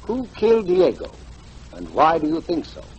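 An actor playing a police inspector posing formal, probing questions in a 1940s radio drama. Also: male voice, fairly high pitch, wildly swooping pitch, moderate pace, a little mellow, slightly rough, muffled recording, exaggerated voice.

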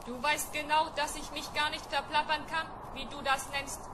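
Woman acting a high, monotone, cocky robot. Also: normal speed, noisy background, close to an everyday voice. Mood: pedantic, cool. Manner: precise, matter-of-fact, pedantic. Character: cocky, argumentative spaceship robot with a mind of its own. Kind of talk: 1970s children's radio play acting.

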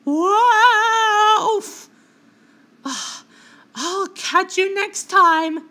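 Very high, playful cartoon creature. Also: female voice, bright, forceful tone, exaggerated voice. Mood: playful, excited. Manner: exclamatory, playful, slow short catchphrases. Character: playful childlike cartoon color creature, eager explorer. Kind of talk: cartoon character voice lines.

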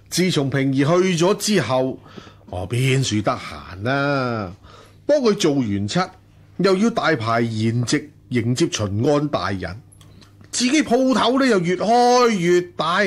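A man's voice: fairly high pitch, exaggerated voice. An actor playing a wealthy, lustful and imperious household master in a Chinese period radio drama, sighing and drawing out his words. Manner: sighing, drawn-out delivery.

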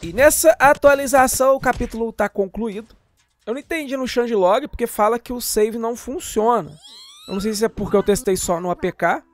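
A man's voice: extremely high pitch, fairly quick. Brazilian male gaming host, chatty and informal, jokey slang, voice-over with music underneath. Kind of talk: casual, slangy game update voice-over.

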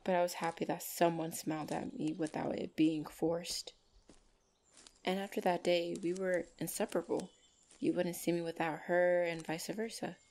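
A woman playing a gentle, shy orc lover in an ASMR roleplay, speaking softly and hesitantly with tender pauses. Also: lowish voice, level tone, lightly acted. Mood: wistful, tender.

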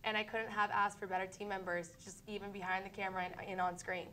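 A young woman, a student meteorologist, giving a weather forecast and chatting in a campus TV studio, eager and a little halting. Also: mid-range voice, flat delivery, pushed and bright, a little hiss or hum. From United States. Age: young adult.

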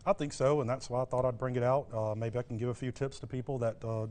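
A man's voice: medium pitch, level tone, fast talker, a little mellow. The middle-aged guy with an ordinary mid-range voice at the barbecue cook-off who casually shows you, step by step and in plain words, how he does it. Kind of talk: casual cooking demonstration. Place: TV studio kitchen set.

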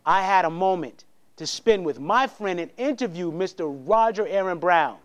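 A man's voice: high voice, normal speed. A middle-aged man hosting a talk show, hyping the next segment and interviewing a guest in a studio. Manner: energetic, hyped promo delivery. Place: studio set with a large screen.

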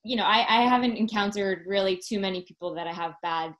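A woman's voice: medium pitch, quick pace, fairly bright tone. A woman in her thirties, a talent producer speaking as a guest in a casual video-call interview, friendly and upbeat with many fillers. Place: home room. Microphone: laptop webcam mic on video call.

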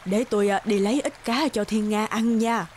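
A woman voicing a kindly, cheerful older fisherman's wife in a cartoon fairy tale, warm and eager. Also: mid-range voice, moderate pace, slight background noise, lightly acted. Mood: cheerful, curious.

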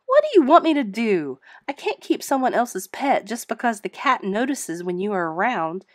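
A woman voicing a flustered but firm middle-aged female innkeeper in a storybook, brisk and emphatic. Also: on the high side, very animated, dry recording, clean studio sound, voice pushed up. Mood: pleading, firm.

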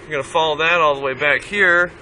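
A man, giving an informal, halting hands-on technical walkthrough as an automotive student. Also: on the high side, steady tone, sharp tone. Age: 20s. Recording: noisy amateur camera audio, vehicle noise.

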